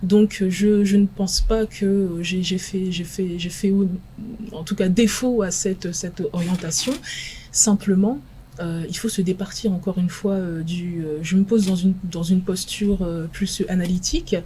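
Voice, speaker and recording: A woman expert guest on a televised panel debate, from France, answering in a measured, analytical way with frequent halting pauses. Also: fairly low pitch, fairly even intonation, warm tone, a little hiss or hum. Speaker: guest expert in international relations.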